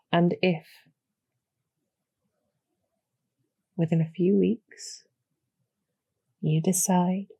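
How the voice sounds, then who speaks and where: A woman playing a calm, courteous female demon general in a roleplay audio, speaking softly with measured, gently formal persuasion and a husky, creaky edge. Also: fairly low pitch, no echo, heavily acted. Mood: solemn, coaxing. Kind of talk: slow, soothing scripted roleplay audio for sleep.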